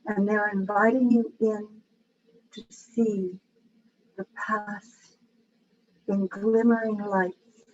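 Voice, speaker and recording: Slow, even-voiced woman guiding meditation. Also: medium pitch. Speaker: guided meditation leader. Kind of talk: guided meditation. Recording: dull, lo-fi with faint background noise.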